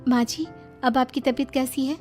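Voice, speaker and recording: Casting: woman as soft-hearted, obedient young bride for cartoon family drama, high voice. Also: fairly even intonation, normal speed, lightly acted. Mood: attentive. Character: gentle, apologetic young daughter-in-law. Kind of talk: cartoon moral story acting. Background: faint music.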